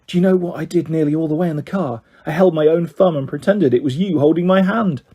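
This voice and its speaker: A man's voice: on the high side, fast, warm tone, husky. A man voicing a sweet, sad young girl with a gushing, childlike, tender manner in a children's story. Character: young girl, sweet sad best friend who moved away.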